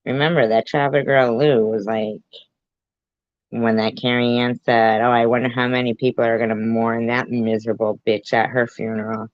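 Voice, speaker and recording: A woman's voice: very deep voice, level tone, moderate pace, no echo, dull audio. A woman reacting to a clip with dry, sarcastic asides. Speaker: commentary YouTuber.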